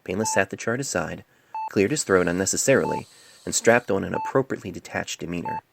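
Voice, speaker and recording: A man reading a scripted story as an amateur narrator, measured and literary, recorded on a cell phone microphone. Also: low-pitched, expressive, gravelly, noisy background.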